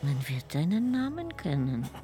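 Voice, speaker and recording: A woman's voice: sits low, huge pitch swings, moderate pace, mellow, faint music behind. An actress playing a mocking yet soothing royal woman of ancient Persia in an intimate radio drama.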